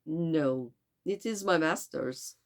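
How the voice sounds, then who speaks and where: A woman's voice: low voice, slow pace. Woman doing a polite French manservant, stuffy-nosed. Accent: attempted French. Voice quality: congested nose.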